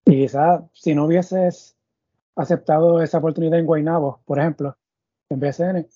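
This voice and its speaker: A man hosts a sports interview, circling his questions with fillers and restarts. His voice is very dark and husky. The recording is dry and a little muffled. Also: on the high side, fairly even intonation, moderate pace, no room sound. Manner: thinks aloud with many fillers and restarts. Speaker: podcast host. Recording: dull, muffled audio.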